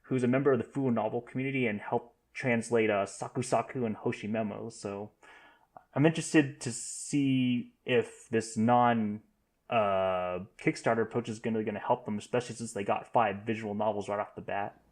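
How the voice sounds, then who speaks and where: Halting man chatting on a podcast. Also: lowish voice, steady tone. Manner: halting, self-correcting delivery. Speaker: podcast host. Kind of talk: casual news discussion.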